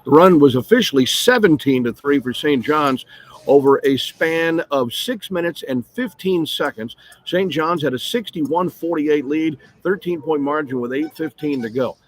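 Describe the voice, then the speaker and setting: Husky-edged male sports radio broadcaster. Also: a little high, animated, moderate pace, no room sound. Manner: detailed, recites scores and stats. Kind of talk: radio postgame recap commentary. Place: courtside broadcast position at an arena.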